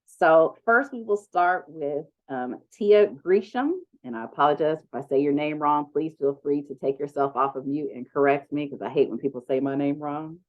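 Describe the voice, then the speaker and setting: A woman moderating an online conference panel, speaking in a relaxed, chatty way with lots of ums. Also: deep voice, normal speed, hardly any pauses. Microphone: video call. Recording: dull lo-fi video call audio.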